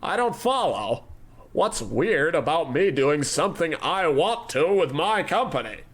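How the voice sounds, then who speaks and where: A man's voice: on the high side, big pitch swings, measured pace. A man playing a pompous, flippant animation tycoon in a comedy radio play, smug and careless. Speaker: company boss character.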